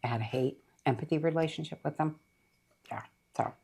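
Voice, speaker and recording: A middle-aged woman chatting casually about books she read, her voice rough after being sick, rambling with lots of fillers. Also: low voice, fairly quick, warm tone. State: voice rough from recent illness. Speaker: book reviewer. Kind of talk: casual book review chat.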